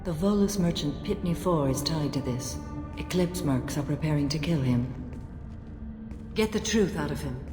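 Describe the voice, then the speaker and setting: A woman acting as a stern, serene alien warrior in a video game, speaking formally and with measured solemnity. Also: low-pitched, lively intonation. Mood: solemn, resolute. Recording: echoey hall, music underneath.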